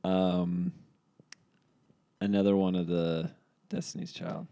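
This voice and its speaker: A man's voice: low voice, little pitch movement, takes it slowly, gravelly, dark tone, clean tone, no room sound, muffled recording. A young man chatting casually as a podcast guest, easygoing and often laughing as he talks. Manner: easygoing, laughs while talking. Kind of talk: casual chat.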